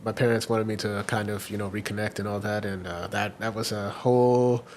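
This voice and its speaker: A young man from Washington, D.C., a guest on a podcast, telling his story in relaxed, rambling chat with plenty of fillers. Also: on the low side, fairly quick, slight background noise. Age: young adult. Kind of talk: casual interview chat.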